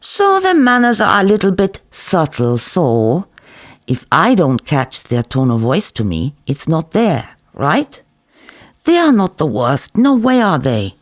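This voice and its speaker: A man's voice: a little high, huge pitch swings, unhurried, no room sound, studio quality. A man voicing a world-weary, hectoring Israeli kebab-shop worker who lectures and cajoles emphatically. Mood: lecturing.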